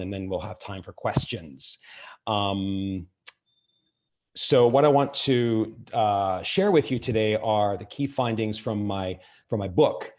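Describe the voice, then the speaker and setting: A man's voice: on the low side, a little mellow. A middle-aged man from Argentina giving a conference keynote over a video call, hesitant, with many fillers and restarts. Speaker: keynote speaker. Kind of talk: online conference keynote talk.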